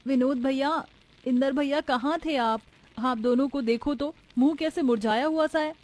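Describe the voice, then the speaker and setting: Woman acting a high, pleading young sister. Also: moderate pace, darkish tone, lightly acted. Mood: concerned. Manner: pleading, emotional. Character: devoted, tearful young sister in a poor family.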